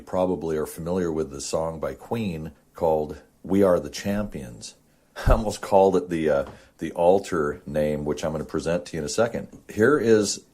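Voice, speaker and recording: Low-voiced, husky man hosting informally. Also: mellow. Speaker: host of an amateur audio show. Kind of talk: informal explanatory monologue to listeners.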